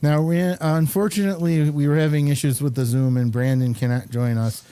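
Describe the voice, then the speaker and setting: A man hosting a casual studio chat in a halting, rambling manner. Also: fairly high pitch, steady tone, mellow, clear, resonant tone, no room sound. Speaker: host.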